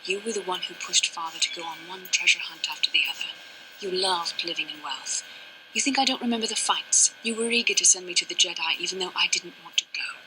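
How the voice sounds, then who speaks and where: A woman's voice: lowish voice, bright, forceful tone, slight background noise, rough recording. A woman playing a proud, guarded young warrior-monk and resentful daughter in a video game, speaking formally, clipped and earnest. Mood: bitter, accusing. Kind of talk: dramatic scripted game dialogue.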